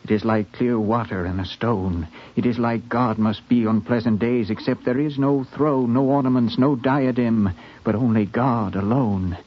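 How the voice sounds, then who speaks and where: Male actor as proud, intense young poet, declamatory, average pitch, muffled 1950s radio drama sound. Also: fairly even intonation, moderate pace, natural voice. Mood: dreamy. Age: young adult. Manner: declamatory, rapturous, poetic. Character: intense, grandiose young poet, orphaned and defiant.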